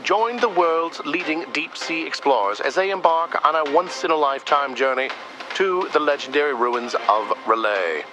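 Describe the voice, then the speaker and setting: A man's voice: fairly high pitch, animated, moderate pace, over music, natural voice. A man playing a portentous, promotional announcer in a dramatic radio advertisement for an epic expedition. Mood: grand, enticing. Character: grandiose announcer pitching an epic deep-sea expedition broadcast.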